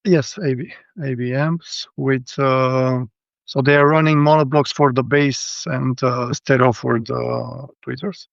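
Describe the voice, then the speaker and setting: A man's voice: medium pitch, steady tone, measured pace, gravelly, dry recording. A man with a Romanian accent giving a casual technical rundown as a guest, speaking hesitantly, over dull lo-fi audio. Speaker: guest, hi-fi show visitor.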